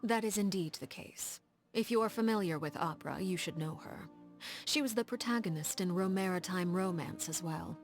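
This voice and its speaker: A woman playing a coolly elegant, formal leader of agents in a video game, speaking in a composed, measured and refined way. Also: lowish voice, faint music behind, lightly acted. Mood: composed, informative. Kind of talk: scripted video game character dialogue.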